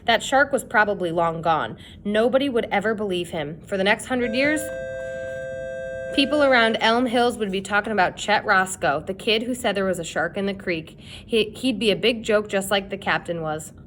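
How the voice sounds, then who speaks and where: A woman's voice: normal speed, over music. A woman reading a children's chapter book aloud as a dramatic, suspenseful storyteller, with noise behind. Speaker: storybook reader. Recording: clear background noise with rumble.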